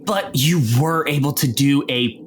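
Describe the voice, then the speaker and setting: A man's voice: a little high, measured pace, background noise. A man narrating a tabletop role-playing game as game master in a casual style. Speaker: game master and narrator of an actual play podcast.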